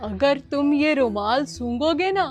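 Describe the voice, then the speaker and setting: A woman's voice: high voice, animated, a little slow, a little mellow, soft background music. A woman playing a sly, scheming stranger who lures children, in an acted moral-story skit. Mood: coaxing.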